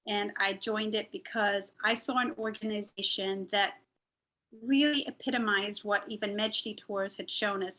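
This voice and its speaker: A woman originally from India and raised in the Middle East speaks in a bright, forceful voice. Her delivery is fluent and conversational, an earnest personal testimonial given over a video call. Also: medium pitch. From born in India, grew up in the Middle East, moved to the US. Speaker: volunteer speaker at a nonprofit's online celebration.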